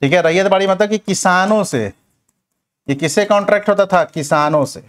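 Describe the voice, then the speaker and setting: Exam coach: male, slightly high-pitched, instructive lecture delivery, posing questions and answering them, with frequent reminders. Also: brisk. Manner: instructive, repeats check phrases like a classroom teacher.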